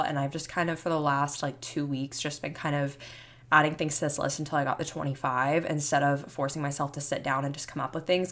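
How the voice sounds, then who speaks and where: A woman in her mid twenties hosting a solo podcast in a chatty, rambling, casual way. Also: sits low. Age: 20s. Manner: chatty, rambling, youthful filler-heavy talk. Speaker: podcast host.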